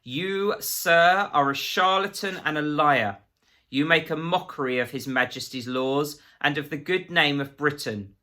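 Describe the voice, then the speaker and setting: A man's voice: a little high, unhurried, pushed and bright, voice pushed up. A man playing a prissy, menacing government agent in a story, officious, pompous and precise. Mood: roaring rage.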